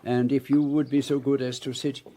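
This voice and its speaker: A middle-aged Belgian gentleman detective played by a male actor in a radio play. His French-tinged accent frames formal, precise sentences at a medium pitch, delivered with theatrical courtesy. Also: little pitch movement, a little fast, natural voice. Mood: courteous, commanding. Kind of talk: radio drama acting.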